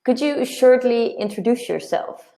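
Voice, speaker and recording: A woman's voice: on the high side, animated, measured pace, gravelly, warm tone, reverberant. A woman hosting an interview as content producer, reading a scripted intro and asking questions.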